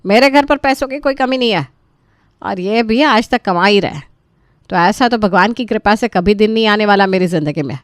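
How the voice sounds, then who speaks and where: A woman's voice: mid-range voice, normal speed, dry recording, clean studio sound, close to an everyday voice. A woman voicing a weary elderly mother-in-law in a cartoon story, grumbling with dramatic self-pity. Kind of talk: animated moral story dialogue.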